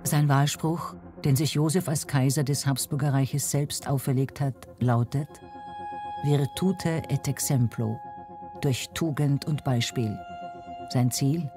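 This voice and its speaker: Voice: mid-range voice, slow pace. A documentary narrator reading a scripted history narration. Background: music.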